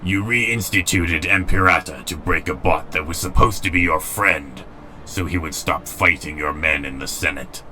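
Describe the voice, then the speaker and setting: Man voicing a very deep, grave robot leader. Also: moderate pace, sharp tone, slight background noise. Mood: indignant. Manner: grave, solemn, formal. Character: weary, principled male robot rebel leader. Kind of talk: dramatic comic dub acting.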